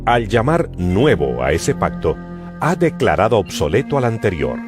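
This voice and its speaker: Deep-voiced, very animated male narrator. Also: moderate pace. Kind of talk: dramatized scripture narration. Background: music.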